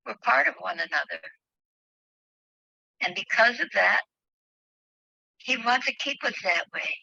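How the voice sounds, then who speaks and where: Bright-voiced woman sharing devotion, dull audio. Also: fairly high pitch, lively intonation, a little slow, vocal fry, husky, dry recording. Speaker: spiritual leader. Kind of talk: devotional reading and heartfelt group sharing. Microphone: phone on a group call. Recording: dull, dry online call audio.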